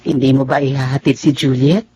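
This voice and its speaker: Actor as husky concerned Filipino parent, muffled. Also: male voice, mid-range voice, little pitch movement, brisk, mellow, no room sound, natural voice. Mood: resigned, caring. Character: concerned parent seeing off a grown daughter. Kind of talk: Tagalog life drama acting.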